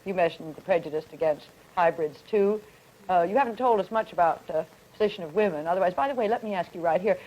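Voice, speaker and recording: A middle-aged woman moderating a panel discussion, steering it with brisk, curious follow-up questions. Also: fairly low pitch, darkish tone, poor audio.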